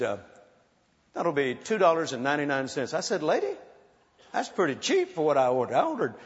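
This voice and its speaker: A man in his sixties preaching a sermon, urging the crowd to praise and telling playful stories. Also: mid-range voice. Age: 60s. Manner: exhorting, calls for praise, playful storytelling. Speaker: pastor. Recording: muffled sound in a large reverberant hall.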